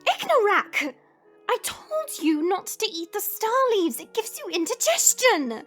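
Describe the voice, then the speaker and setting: A woman playing a noble, composed dragon woman in a fantasy audio drama, precise and formal, knowing and gently scolding. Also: very high-pitched, very animated, unhurried, soft background music, full character voice.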